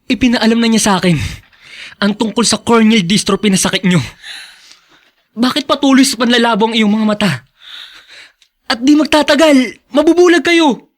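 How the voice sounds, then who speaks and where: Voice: medium pitch, lightly acted. Devoted, determined youngster played by an actor. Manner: earnest, respectful. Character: devoted, determined young student child of ailing mother. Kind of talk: Filipino radio drama acting.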